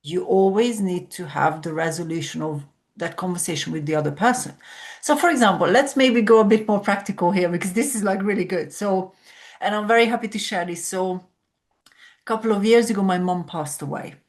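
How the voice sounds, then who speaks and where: A woman's voice: mid-range voice, a little fast. A woman from Hungary, a sleep coach explaining ideas reflectively as a podcast guest. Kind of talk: podcast conversation.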